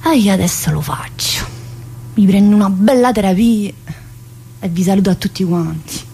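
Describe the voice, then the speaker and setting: An actress playing an earthy, wisecracking woman in prison, chatty and crude and swinging from loud outbursts to low muttering. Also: normal speed, vocal fry, husky. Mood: bitter. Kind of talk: stage monologue.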